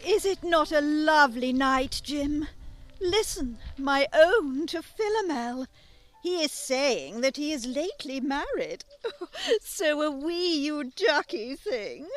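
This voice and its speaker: A woman acts out a gushing, affected wife, all flowery endearments, for a radio play. The voice is high and swoops widely, with slow, lingering phrases. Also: animated, dry recording, faint music behind. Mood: rapturous. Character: gushing, adoring romantic wife with affected airs.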